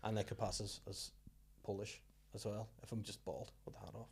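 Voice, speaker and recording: A man's voice: lowish voice, little pitch movement, fairly quick, vocal fry, breathy edge. A man with a Northern Irish accent hosting a casual comedy chat, rambling and bantering as he goes. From Northern Ireland. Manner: bantering, rambling, slips into acted quotes. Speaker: podcast host, comedian and actor.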